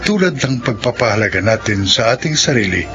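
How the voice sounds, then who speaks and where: A man's voice: medium pitch, animated, a little fast. A man announcing a radio drama in formal scripted narration, reading a moral and credits. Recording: dull radio recording under loud music.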